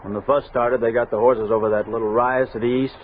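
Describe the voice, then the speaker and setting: A man's voice: medium pitch, flat delivery, fast talker, lightly acted. Casting: male actor as matter-of-fact expedition soldier, Western radio drama, lo-fi recording with faint hiss. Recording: thin hissy old radio recording.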